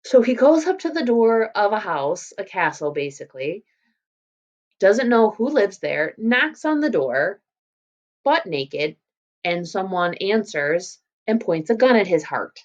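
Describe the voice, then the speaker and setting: A woman's voice: mid-range voice, sing-song, measured pace, dull audio. A woman teacher reading a story aloud and explaining it in an informal way. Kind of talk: read-aloud lesson with casual explanatory commentary.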